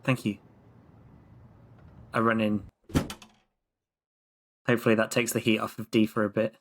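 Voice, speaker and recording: A man's voice: on the low side, level tone, a little slow, noisy background. A man playing a tabletop game, hesitant and apologetic in how he speaks. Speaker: player. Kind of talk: casual tabletop role-play.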